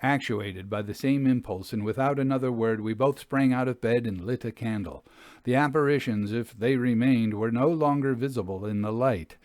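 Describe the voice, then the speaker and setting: A man reading a classic ghost story as narrator, in a solemn, deliberate and dramatic literary style. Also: mid-range voice, darkish tone, dry recording.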